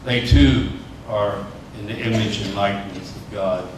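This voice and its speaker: Flat-voiced man in an echoey hall. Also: lowish voice, unhurried. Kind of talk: unscripted panel discussion. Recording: echoey hall from far off, noisy.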